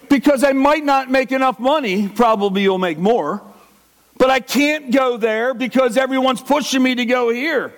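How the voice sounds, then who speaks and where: A middle-aged man preaching a sermon with passion, acting out the inner voice of a struggling believer. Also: very high voice. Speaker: pastor.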